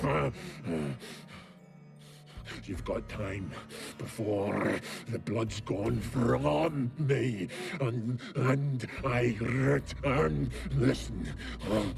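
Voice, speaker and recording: An actor playing a savage, tormented cursed werewolf in a video game, growling in halting, broken phrases with groans. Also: male voice, medium pitch, exaggerated voice. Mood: pleading, weary. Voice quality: gravelly, growling. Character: cursed male werewolf, savage, boastful, tormented by endless hunger. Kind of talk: dramatic video game character acting.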